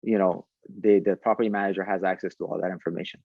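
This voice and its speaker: A man's voice: deep voice, steady tone, quick pace, no room sound, narrow, tinny audio. A man talking conversationally as a podcast guest, explaining a product and often repeating words as he goes. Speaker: startup founder, podcast guest.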